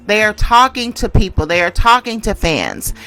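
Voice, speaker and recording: Woman doing a chatty tarot reading, real bright punchy voice, repeats stuff for emphasis. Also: mid-range voice, very animated, normal speed, soft background music, low-quality recording. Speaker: tarot reader and channel host. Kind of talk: casual tarot reading and commentary.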